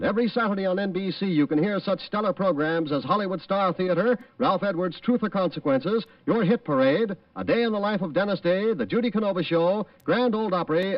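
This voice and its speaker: A man's voice: high-pitched, expressive, fairly quick, few pauses, no room sound. An American man announcing in a scripted, formal and polished 1940s radio style. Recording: muffled vintage radio recording, distant. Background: music.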